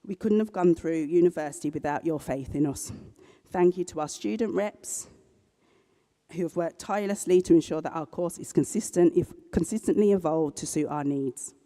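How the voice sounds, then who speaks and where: A mellow, mid-pitched voice delivers a formal ceremony speech in an earnest, measured way, now and then correcting a word mid-phrase. The sound spreads through a large hall with a long, trailing echo. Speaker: sign language interpreter voicing a graduate's speech.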